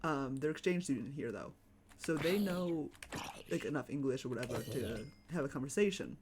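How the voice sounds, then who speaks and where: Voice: medium pitch, expressive, normal speed, background noise. A streamer with a Chicago accent, chatting casually and rambling while playing a game at home. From United States. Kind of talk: casual live-stream chat while gaming.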